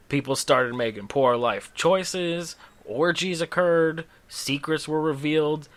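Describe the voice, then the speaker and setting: A man's voice: medium pitch, a bit bright. A man telling a comedic scripted story in a dramatic, tongue-in-cheek way, with casual asides. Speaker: storyteller, host of a story series.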